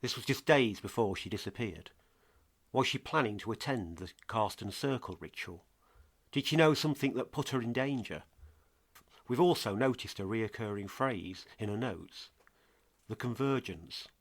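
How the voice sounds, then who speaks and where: A man playing a grave investigative podcast presenter, narrating a scripted mystery in a measured, dramatic way. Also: lowish voice, expressive. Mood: questioning, suspenseful.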